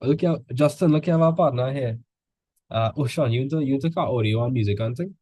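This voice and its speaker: A man's voice: mid-range voice, fast, warm tone, husky, no room sound. A male teacher tutoring students in a casual session, full of "like" fillers, quizzing them with questions as he goes.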